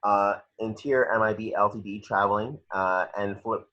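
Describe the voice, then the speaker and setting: Muffled low-voiced man reading a screenplay. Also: fairly even intonation, moderate pace, bright, forceful tone. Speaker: reader of action descriptions. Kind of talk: screenplay narration at a table read. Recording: muffled lo-fi home recording.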